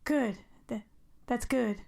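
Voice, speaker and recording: Guarded hurt lady in a radio play, dry n sarcastic, voice a bit high. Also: slow pace, creaky, a little mellow, heavily acted. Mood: guarded, uneasy. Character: guarded, wounded, sardonic woman rebuilding her life. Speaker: actress in audio drama. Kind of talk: naturalistic radio drama acting.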